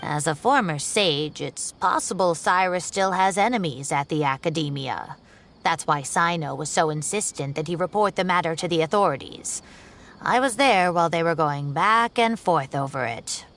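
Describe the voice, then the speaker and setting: A woman playing a worried older woman professor who speaks gently and anxiously. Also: low-pitched, moderate pace. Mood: explaining. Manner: fretful, gentle. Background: game music.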